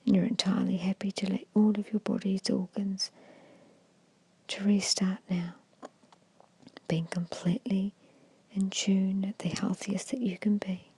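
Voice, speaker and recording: A woman whispers a guided ASMR hypnosis meditation in a breathy, hushed voice, giving gentle, repetitive suggestions. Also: medium pitch, flat delivery, a little slow, long pauses, rough recording.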